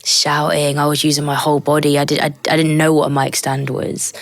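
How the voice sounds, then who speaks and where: A soft-spoken young woman from Britain, a poet guest reflecting in a measured, thoughtful way during an interview. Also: deep voice, little pitch movement, no echo. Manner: reflective, measured, thinks aloud. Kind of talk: conversational interview.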